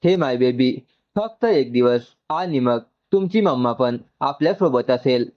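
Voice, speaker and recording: A man's voice: medium pitch, animated, a little slow, natural voice. Devoted young doctor voiced by narrator, muffled man. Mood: loving. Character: young male doctor, devoted, loving and polite. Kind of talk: audio storybook dialogue.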